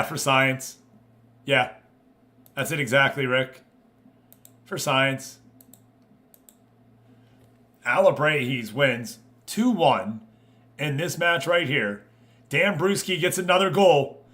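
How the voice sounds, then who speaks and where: A man commentating live on football management game matches as a streamer, mixing play-by-play with casual asides in an excitable manner. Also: on the high side, gravelly.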